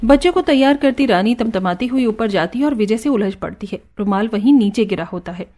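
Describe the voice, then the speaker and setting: A woman narrating an animated story in a smooth, even storytelling manner. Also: on the high side, a little fast.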